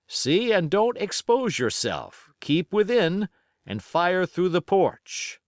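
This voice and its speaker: Gruff, commanding sea captain played by a male narrator, terse orders, animated delivery, storybook adventure. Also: high-pitched, slow, no echo, clean studio sound, voice pushed up, full character voice. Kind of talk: audiobook character acting.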